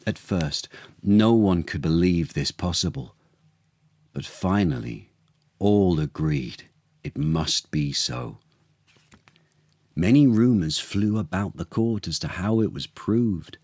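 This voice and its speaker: A man, an actor, reading a tale to children as an expressive, theatrical storyteller with dramatic pauses. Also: low voice, no room sound.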